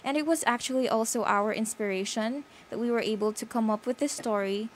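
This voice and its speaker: A woman's voice: fairly high pitch, fairly quick, a little hiss or hum. A young woman with a Filipino accent, a student representative, speaking earnestly on air with some fillers. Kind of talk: radio studio remarks.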